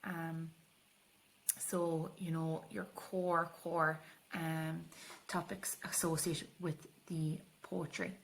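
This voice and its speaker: A woman's voice: sits low, flat delivery, takes it slowly, creaky, low-quality recording. A woman with an Irish accent teaching an exam revision tutorial, explaining informally and hesitantly, with Irish-language terms mixed in.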